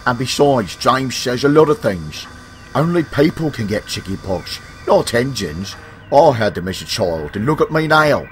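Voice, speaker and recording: A man's voice: medium pitch, sing-song, normal speed, a little hiss or hum, voice pushed up, close to an everyday voice. A man voicing a sensible, reassuring engine driver in a children's story, with music behind. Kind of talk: children's storybook character acting.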